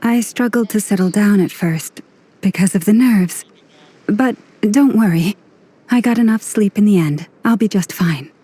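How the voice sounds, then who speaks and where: An actress playing a soft, modest, reassuring young woman with a husky, mellow voice in a video game. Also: mid-range voice, normal speed, lightly acted. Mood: gentle, reassuring.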